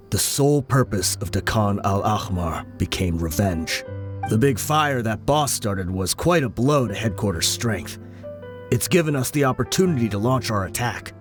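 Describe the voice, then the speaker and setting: Brief: man playing a grim, vengeful gang lieutenant, low voice, measured telling, soft music behind. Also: close to an everyday voice. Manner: measured, explaining. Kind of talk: video game voice acting.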